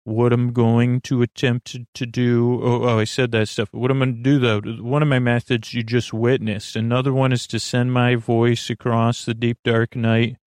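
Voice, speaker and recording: A man hosting a sleep podcast in a creaky voice, meandering hesitantly and soothingly from one digression to the next. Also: medium pitch, flat delivery, normal speed, few pauses, darkish tone, dry recording, clean studio sound. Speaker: podcast host.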